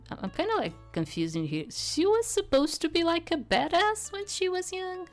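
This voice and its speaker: A woman's voice: very high-pitched, very animated, moderate pace. A woman narrating a visual novel aloud in a dramatic reading style, with casual asides. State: voice starting to tire. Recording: background music.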